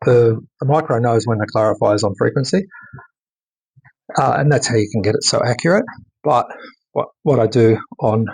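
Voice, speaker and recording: A man giving a casual, unscripted hands-on technical demonstration, speaking haltingly and often repeating words for emphasis. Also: medium pitch, darkish tone, slightly rough, poor audio. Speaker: electronics hobbyist presenting a radio mod.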